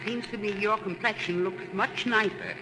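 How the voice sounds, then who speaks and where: A woman's voice: mid-range voice, normal speed, natural voice. A woman playing a chatty, marvelling mother sightseeing in a 1930s radio advertisement. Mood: pleasantly surprised. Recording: noisy 1930s radio recording, vehicle sounds.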